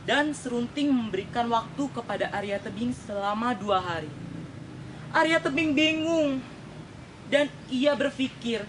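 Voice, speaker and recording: Young female storyteller, high-pitched, deliberate dramatic folktale delivery, faint noise behind. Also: a bit bright, poor audio. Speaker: storytelling contestant.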